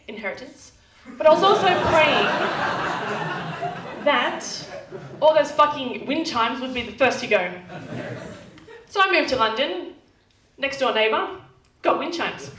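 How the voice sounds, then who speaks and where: A woman's voice: high voice, sharp tone. A young Australian woman with a nasal twang doing brash, sweary stand-up comedy. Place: comedy club stage. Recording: echoey club room, distant, noisy.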